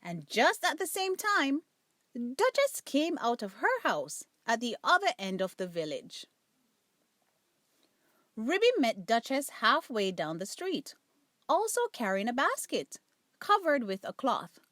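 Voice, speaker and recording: A woman storyteller reading a children's bedtime story aloud, with careful, expressive phrasing. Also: a little high, measured pace, no echo, studio quality.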